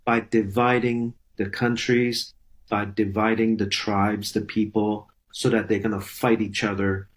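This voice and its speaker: A man's voice: lowish voice, little pitch movement, measured pace. A man speaking as a professor, explaining a point with rhetorical questions. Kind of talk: lecture clip.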